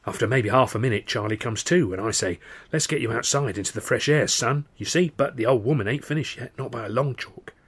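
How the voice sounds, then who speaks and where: A man voicing an excitable working-class storyteller spinning a yarn, with a British accent and a colloquial, dramatic delivery. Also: medium pitch, a little fast, breathy edge. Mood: animated.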